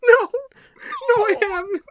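A man in his twenties in improvised comedy banter, excitable and jokey. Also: extremely high pitch, measured pace, no room sound, muffled recording. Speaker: improv podcast performer. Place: room at home, indoors.

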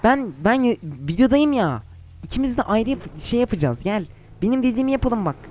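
Woman gamer in voice chat, kinda high voice, breathy sighs n pet names, faint hiss behind. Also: sing-song, a little mellow.

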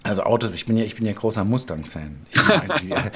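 A man's voice: on the low side, very animated, fast, fairly bright tone, husky. Middle-aged man hosting a casual talk. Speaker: talk show host. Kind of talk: casual interview chat. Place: home studio room indoors.

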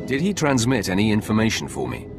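A man's voice: lowish voice, wildly swooping pitch, fast, creaky, over music, heavily acted. An actor playing a cold, confident male Sith warrior in a video game, terse and haughty. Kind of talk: scripted video game acting.

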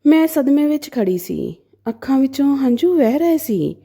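A woman's voice: high voice, sing-song, moderate pace, creaky, warm tone. A woman narrating a dramatic story in the first person as a storyteller.

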